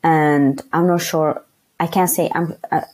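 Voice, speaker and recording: Low husky-voiced woman from Afghanistan. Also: steady tone, measured pace, darkish tone. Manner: frank and outspoken, searches for words with many fillers. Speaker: interview guest. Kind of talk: candid conversational interview.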